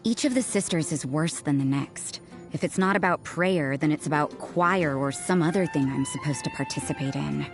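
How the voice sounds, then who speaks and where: A woman voicing an aloof, cynical young nun in a video game, with a cool, languid, deadpan delivery. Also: deep voice, normal speed. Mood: languid, dry. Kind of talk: scripted game voice lines. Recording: music behind the voice.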